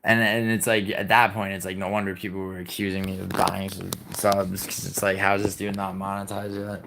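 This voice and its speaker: A man's voice: low voice, little pitch movement, brisk, vocal fry, fairly bright tone, slight background noise, low-quality recording. A man who was born in Italy and raised in New York, chatting casually on a live stream and answering his viewers' messages in a rambling, profane way, with many fillers and restarts.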